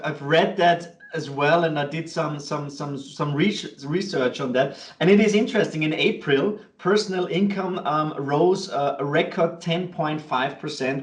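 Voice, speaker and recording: A man from Vienna with an Austrian accent, speaking as a guest in a casual interview and often pausing with fillers and restarts. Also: fairly high pitch, reverberant, distant mic. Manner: hesitant, many fillers and restarts, laughs easily. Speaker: guest, fund manager.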